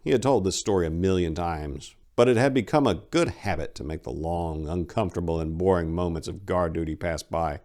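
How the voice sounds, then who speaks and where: A man with a deep voice works as host and narrator. His delivery is scripted, deliberate and theatrical, suited to dramatic story readings. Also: darkish tone.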